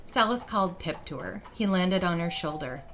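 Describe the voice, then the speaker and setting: A woman's voice: on the low side, lively intonation, normal speed, fairly bright tone. A woman reading scripted fantasy story narration as the storyteller. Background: music.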